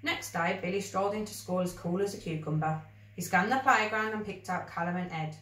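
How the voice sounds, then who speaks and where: A woman reading a children's story aloud in an expressive, scripted storybook style. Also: on the low side, brisk. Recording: echoey room, distant mic.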